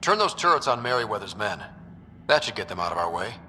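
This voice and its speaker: A man's voice: lowish voice, very animated, fast talker, pushed and bright, a little hiss or hum, heavily acted. A man voicing a confident, decisive young human squad leader in a video game. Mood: commanding.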